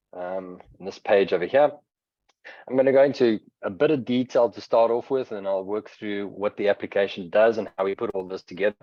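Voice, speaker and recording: Deep-voiced South African man presenting. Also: dry recording. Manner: steady explanatory delivery, hesitant fillers. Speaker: software presenter. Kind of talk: webinar presentation with software demo. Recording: dull lo-fi webinar audio.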